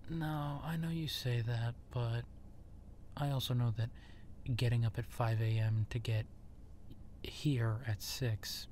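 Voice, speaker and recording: A male actor plays a man who secretly turns werewolf, friendly and self-effacing, in an intimate audio drama. His medium-pitched voice is halting and conversational. Some ambient noise runs behind the voice. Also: fairly even intonation, darkish tone, natural voice. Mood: friendly, grateful. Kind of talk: roleplay audio drama acting, intimate ASMR.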